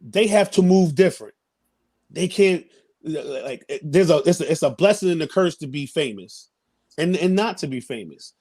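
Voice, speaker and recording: A man in his thirties with a slightly high voice talks casually as an interview guest. He gives his opinions in a halting way, restarting and repeating phrases while he works toward his point. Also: lively intonation, darkish tone, breathy edge. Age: 30s.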